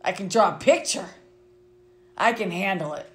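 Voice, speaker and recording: A middle-aged woman reading a children's picture book aloud to students in an expressive way. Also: medium pitch, normal speed, slight background noise. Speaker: school vice principal reading to students. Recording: roomy, echoing sound.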